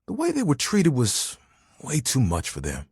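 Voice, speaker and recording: A man playing an easygoing, proud and sentimental living towel in a video game, with a husky, expressive and earnest delivery. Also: mid-range voice, moderate pace, no room sound, studio quality, exaggerated voice. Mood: wistful. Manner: laid-back, expressive, earnest, playfully boastful. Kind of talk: video game character dialogue.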